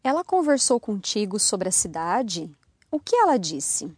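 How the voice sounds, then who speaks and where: A woman co-hosting a language podcast, reading dialogue lines in a lively way. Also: medium pitch, moderate pace, slightly rough, dry recording, clean studio sound.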